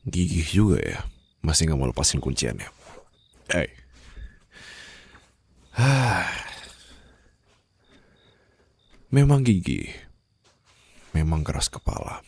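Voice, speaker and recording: Very deep, gravelly mafia boss, acted by a man. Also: big pitch swings, no room sound, full character voice. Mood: taunting. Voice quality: gravelly, breathy, often dropping to a whisper. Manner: slow, taunting, controlled threats. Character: menacing, condescending young male mafia boss holding a captive. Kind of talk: ASMR roleplay acting.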